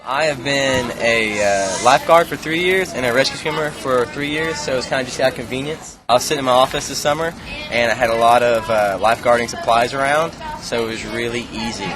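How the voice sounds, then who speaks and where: A man's voice: mid-range voice, brisk. A man directing a student club act, talking matter-of-factly with dry humor. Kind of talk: interview clip.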